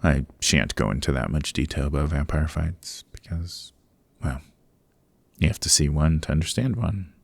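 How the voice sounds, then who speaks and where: A man playing a courteous, chatty old vampire in an ASMR roleplay, speaking in genteel, old-fashioned phrases with a deep, gravelly, husky voice. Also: moderate pace, no room sound, exaggerated voice. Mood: amused, chatty. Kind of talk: ASMR roleplay, interview monologue. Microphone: close microphone, dry.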